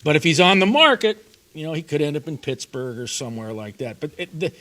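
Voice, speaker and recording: A man, a radio play-by-play broadcaster talking sports as a guest, measured and analytical. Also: on the high side, sing-song, bright, forceful tone. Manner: measured, analytical, lists points. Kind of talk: casual sports talk.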